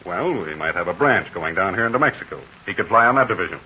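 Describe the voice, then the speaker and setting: An actor playing a calm, fatherly heroic aviator in a 1940s radio serial, speaking in measured, assured tones. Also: deep voice, full character voice. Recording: dull scratchy 1940 radio transcription.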